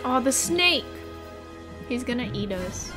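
Excitable young woman gaming with friends. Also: on the high side, slow. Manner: excited, gasping and laughing. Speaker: player. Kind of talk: casual gaming chat. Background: game music.

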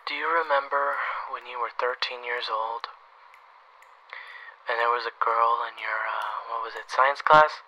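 A man's voice: medium pitch, fairly even intonation, measured pace, sharp tone, rough recording. A man playing a wry, wounded older brother and assassin in an audio roleplay, speaking hesitantly and conversationally. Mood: teasing, nostalgic. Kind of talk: audio roleplay drama acting.

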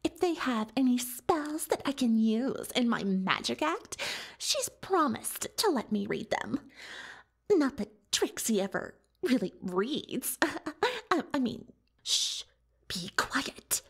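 A vain, melodramatic showgirl unicorn from a cartoon world, spins her story as ASMR roleplay. Her high voice swoops widely and lingers over words at a slow pace. She is haughty and boastful, with conspiratorial asides. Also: very animated, slightly rough, full character voice. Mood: boastful, confiding. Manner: haughty, theatrical, third-person self-reference, conspiratorial asides. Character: boastful, self-dramatising female unicorn pony showgirl magician.

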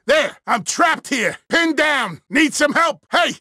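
Game role: exasperated, sarcastic male field agent, very bright forceful voice, pitch swinging widely. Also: animated, slow, no room sound, studio quality, heavily acted. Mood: urgent shouting. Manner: curt, exasperated, scornful. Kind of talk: video game character barks.

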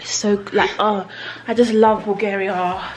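A woman's voice: medium pitch, level tone, measured pace, slight background noise. A woman in her twenties with a London accent, chatting casually and slangily to her vlog camera. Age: 20s. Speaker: vlogger.

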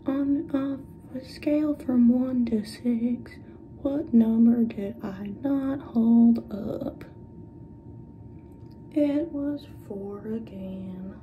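A woman doing an ASMR roleplay as a clinical examiner, speaking softly, often in whispers, and giving short, playful instructions. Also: on the high side, extremely slow. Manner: soft-spoken, often dropping into whispers. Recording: dull lo-fi audio with clear background noise.

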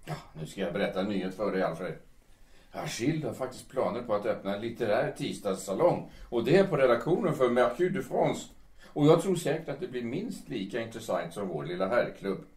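A man's voice: medium pitch, expressive, darkish tone, lightly acted. A man playing an earnest, lovestruck middle-aged editor in an audio drama, speaking in formal, hesitant phrases. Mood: proud. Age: late 30s. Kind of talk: audio drama dialogue in Swedish. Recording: echoey room.

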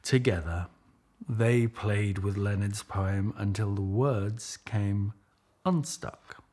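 A middle-aged man reading a picture book aloud with measured, expressive storytelling and a husky voice. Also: on the low side. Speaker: storybook reader.

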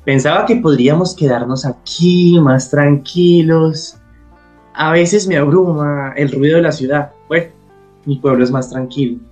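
A man playing a shy, tender with a hesitant, gentle manner in a radio drama. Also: a little high, steady tone, unhurried, soft background music, natural voice. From Colombia. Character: shy, tender Colombian man hosting a companion.

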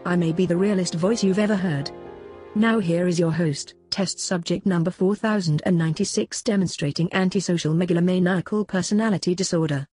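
A text-to-speech female voice playing a sardonic disembodied computer moderator, deadpan in scripted comedy dialogue. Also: lowish voice, a little fast, slightly rough, soft background music. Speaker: moderator and sidekick.

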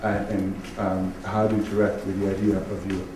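A man from Bosnia, a native Bosnian speaker, moderating a panel discussion in halting, reflective phrases. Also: low voice, flat delivery. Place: public event room, recorded at a distance. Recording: distant echoey hall, clearly noisy.

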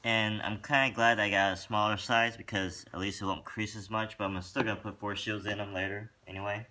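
A man's voice: lowish voice, a little fast, a little hiss or hum. A man talking casually through a sneaker review in a room at home, rambling a little and filling gaps with "um". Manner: relaxed and rambling, with many fillers. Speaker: sneaker vlogger. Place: room with a wooden floor, indoors.

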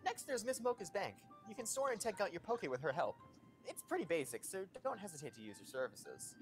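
A woman's voice: fairly low pitch, sing-song, brisk. A woman playing a bossy, peppy young female Pokemon guide with a chirpy, instructive manner in a fan comic dub. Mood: bossy, eager. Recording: music under the voice.

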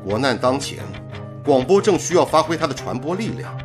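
Man playing earnest patriotic engineer in a radio play, slightly high voice, really animated, music under it. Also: brisk, close to an everyday voice. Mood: earnest, reflective. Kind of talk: radio drama acting.

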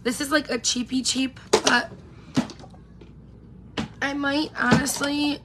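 High-voiced chatty woman vlogger, losing her voice. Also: level tone, slow pace, heavy background noise. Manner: chatty, rambling, greets viewers by name. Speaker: beauty vlogger. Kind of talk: casual get-ready-with-me live chat. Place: home room with pink neon lights, indoors. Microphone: camera in front at close range.

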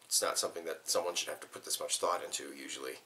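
Young man musing, bright low voice. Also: racing, slight background noise. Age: young adult. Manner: thoughtful, hesitant, repeats words while searching. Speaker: vlogger. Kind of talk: reflective personal documentary talk. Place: small bedroom indoors.

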